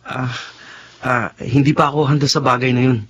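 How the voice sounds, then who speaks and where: A man's voice: medium pitch, fairly even intonation, a bit bright, a little hiss or hum, close to an everyday voice. Alanganing binatang negosyante, katamtaman ang boses, ginagampanan ng lalaki. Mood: evasive. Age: young adult. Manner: halting, hesitant delivery. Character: hesitant young male restaurant owner, evasive but kind. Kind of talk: Tagalog radio drama acting.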